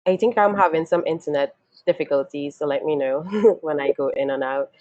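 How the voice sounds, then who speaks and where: Woman, low pitch, chatty, fillers, dry lo-fi call audio. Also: fairly quick, darkish tone, no room sound. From Trinidad and Tobago. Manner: chatty, laughs often, many fillers. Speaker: webinar panelist. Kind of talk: casual storytelling talk. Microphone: video call. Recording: dull lo-fi video call audio.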